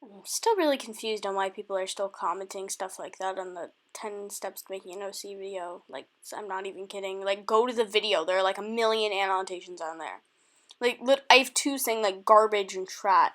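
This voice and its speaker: A woman with a high voice talking to camera in a rambling vlog, hesitant and defensive, with halting restarts and trailing-off sentences. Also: a bit bright. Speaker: video creator. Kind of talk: rambling vlog response to comments.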